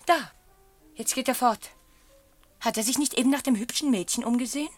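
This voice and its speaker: An actress playing a sorrowful, anxious young wife in a radio play, hesitant and plaintive. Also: female voice, a little high, fairly quick, breathy edge, soft background music. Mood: agitated. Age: young adult.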